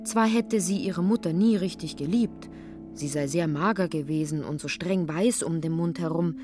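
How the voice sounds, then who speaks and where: A woman's voice: on the low side, normal speed, a little mellow, faint music behind. A woman reading literary narration expressively, slipping into characters' lines. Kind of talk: scripted literary narration for radio.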